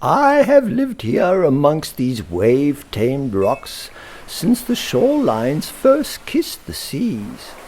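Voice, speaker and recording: Man as a high-pitched wise old rabbit. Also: huge pitch swings, takes it slowly, a little mellow, slight background noise, exaggerated voice. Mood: genial welcome. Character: wise, kindly old grey-whiskered male rabbit hermit.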